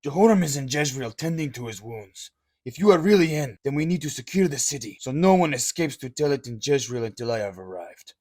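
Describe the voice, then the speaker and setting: A man voicing a bold, forceful army captain in an audio drama, with a husky voice. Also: medium pitch, lively intonation, fairly quick, dry recording, lightly acted. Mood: commanding. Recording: dry close recording.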